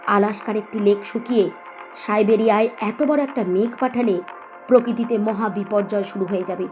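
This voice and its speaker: A woman's voice: mid-range voice, a little mellow, faint music behind, close to an everyday voice. A woman acting a resolute, principled young woman in a Bengali audio story, speaking firmly and earnestly. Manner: firm, earnest, measured.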